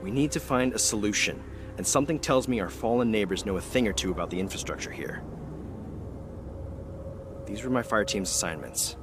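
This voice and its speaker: A low-pitched, battle-worn squad leader from a video game. He talks in terse, plain phrases with a gruff, grieving weariness, while music plays underneath. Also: lively intonation, a little fast, pauses often. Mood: resolute, weary. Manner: terse, world-weary, plain-spoken. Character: weary, gruff veteran soldier, a grieving male fire team leader. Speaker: mission-giving game character.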